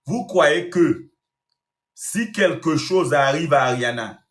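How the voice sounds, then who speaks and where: A man's voice: on the high side, expressive, takes it slowly, roomy sound. A Haitian-born man talking straight to his viewers in a scolding monologue, repeating himself for emphasis. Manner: scolding, repetitive, addresses the audience directly. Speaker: online commentator.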